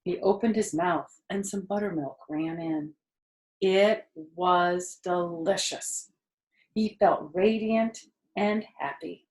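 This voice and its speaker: Female children's storyteller: lower register, expressive and deliberate, recorded in a roomy, echoing space.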